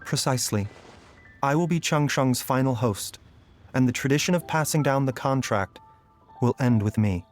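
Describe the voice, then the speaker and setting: An actor playing a gentle young doctor in a game, speaking in a calm, measured, reflective way. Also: male voice, mid-range voice, soft background music, natural voice.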